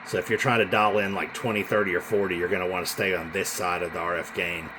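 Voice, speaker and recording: Low-voiced man over clear background noise. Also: fairly even intonation, fast talker. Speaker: CB radio seller. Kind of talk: casual product demonstration. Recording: noisy room with radio hiss.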